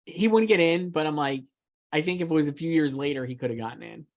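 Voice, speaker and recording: A man's voice: fairly high pitch, fast talker. A man co-hosting a casual chat about film awards, speaking emphatically with some mild swearing. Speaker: awards podcast co-host and pundit.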